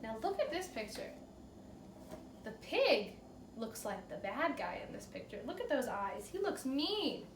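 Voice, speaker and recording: A woman teacher explaining a story to children in an animated way, often checking understanding with short questions. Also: on the high side, measured pace. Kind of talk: explanatory read-aloud commentary for children. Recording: roomy echo, far from mic, faint noise.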